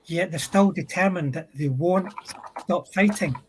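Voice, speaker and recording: A man on a discussion panel explaining military history in a thoughtful, halting way, stretching out words. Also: sits high, darkish tone, slight background noise, poor audio. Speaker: panel speaker.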